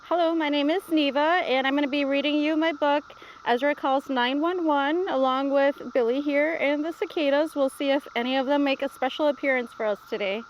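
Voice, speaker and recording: High-voiced woman reading a children's book. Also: brisk, lo-fi sound, slight background noise. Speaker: author reading own book, police dispatcher. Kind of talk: children's book read-aloud.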